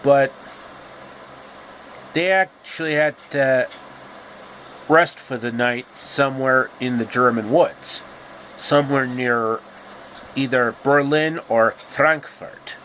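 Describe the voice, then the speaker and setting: A man telling a story informally, with halting, deliberate phrasing and casual asides. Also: mid-range voice, a bit bright, slight background noise, low-quality recording. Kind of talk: informal, improvised storytelling with pop-culture asides.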